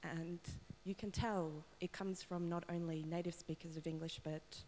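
Woman audience member, very low voice, halting, lots of ums, echoing hall sound. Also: fairly even intonation, darkish tone, slight background noise. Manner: hesitant, many ums, wry. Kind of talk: question from the floor. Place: lecture room. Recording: distant voice with long reverb in a lecture hall.

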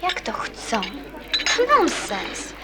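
A woman playing an earnest, caring teenage girl in a radio play, asking gentle, thoughtful questions. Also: fairly high pitch, sing-song, extremely slow, heavy background noise, heavily acted. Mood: challenging. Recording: busy chatter of people behind. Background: crowd chatter, as in a café.

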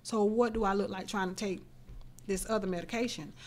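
A woman's voice: medium pitch, fairly even intonation, brisk, darkish tone. A middle-aged woman hosting a radio interview in a small studio, talking earnestly and reflectively into a desk mic. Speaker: host. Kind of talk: casual interview chat. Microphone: desk mic on stand.

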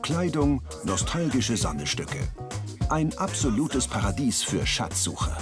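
A man's voice: medium pitch, big pitch swings, a little slow, music in the background. A man narrating a travel documentary in a scripted voice-over.

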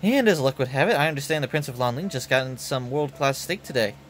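A man's voice: mid-range voice, lively intonation, fast, soft background music, lightly acted. Man doing a bashful young ninja boy. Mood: eager. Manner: bashful, eager to please. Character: shy eager young male ninja.

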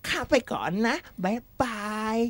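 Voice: unhurried, lightly acted. A performer voicing a boastful, gullible bully snake with exaggerated delivery in a children's animal fable. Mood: defeated, pleading. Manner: exaggerated, threatening then whining.

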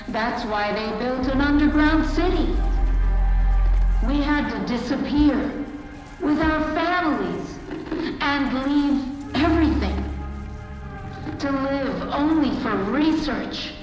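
A woman's voice: high-pitched, lively intonation, slow pace, long pauses, dull audio. A woman playing an unhinged, condescending, bitter underground scientist in a video game, speaking theatrically. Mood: haunted, anguished. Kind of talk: dramatic video game acting. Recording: very echoey hall, music behind.

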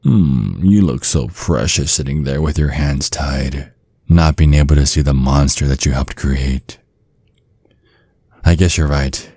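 A man playing a seductive, possessive vampire in an intimate ASMR roleplay, husky and breathy, with a teasing, menacing drawl that often sinks to a whisper. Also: a bass voice, measured pace, warm tone, no echo, studio quality, full character voice. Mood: teasing, smug. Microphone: close, dry microphone.

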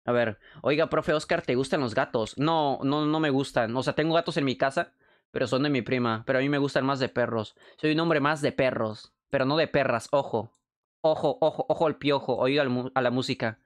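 A young man from Peru with a Mexican Spanish accent, chatting casually on a live stream, joking, excitable and full of slang. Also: medium pitch, brisk. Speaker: streamer and animator.

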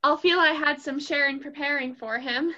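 Very high, bright girlish young friend, played by a woman. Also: moderate pace, slight background noise. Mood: innocent, tactless. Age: young adult. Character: sweet, tactless young girl friend of the wife. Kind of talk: theatrical stage comedy acting. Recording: dull, lo-fi video-call sound.

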